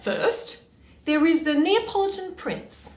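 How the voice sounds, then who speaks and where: A woman playing a sensible, loyal waiting-maid who gently advises her mistress in a measured Shakespeare reading. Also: extremely high pitch, big pitch swings, voice pushed up, full character voice. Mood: gently prompting. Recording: echoey room.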